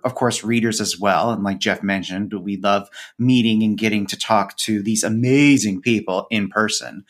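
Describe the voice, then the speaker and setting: A man speaking as a podcast guest in a conversational interview, enthusiastic, with measured phrasing and elaborate word choices. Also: on the low side, a bit bright.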